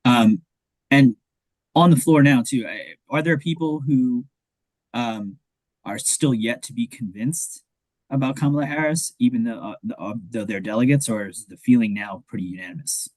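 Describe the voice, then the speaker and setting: A man moderating an interview, asking long questions with halting ums and uhs. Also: mid-range voice, a little mellow, no room sound, low-quality recording.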